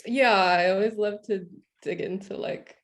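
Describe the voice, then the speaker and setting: A woman's voice: mid-range voice, fairly even intonation, measured pace. A young woman moderating an artist talk, speaking casually and thoughtfully with frequent "like". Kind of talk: panel moderation.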